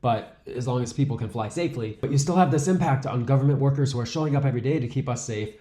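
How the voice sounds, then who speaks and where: Male commentary host, casual delivery, medium pitch, roomy echoing sound. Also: quick pace, mellow. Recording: roomy echo on the voice.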